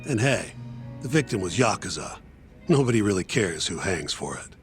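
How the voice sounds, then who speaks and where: A man acting as a brisk, pragmatic, unsentimental defense lawyer in a video game, speaking bluntly and colloquially. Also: deep voice, sing-song, soft background music. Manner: blunt, colloquial, matter-of-fact. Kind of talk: English game dub dialogue.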